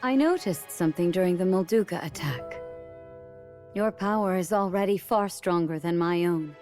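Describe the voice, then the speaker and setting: An actress playing a gentle, wise queen and mentor in a fantasy game, calm, composed and encouraging. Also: lowish voice, normal speed, soft background music, close to an everyday voice.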